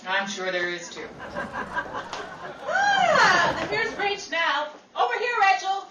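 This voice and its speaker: Woman as a brassy, high-voiced 1930s showgirl. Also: wildly swooping pitch, takes it slowly, exaggerated voice. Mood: wisecracking. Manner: theatrical, slangy, mock-indignant. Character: sassy, brassy, flamboyant Broadway showgirl, fast with wisecracks. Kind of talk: 1930s radio comedy acting. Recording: roomy, distant, noisy recording with crowd.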